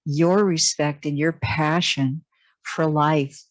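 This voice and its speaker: A woman's voice: sits low, steady tone, slow, no echo. A woman hosting a podcast, interviewing a guest and praising her work effusively. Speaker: podcast host.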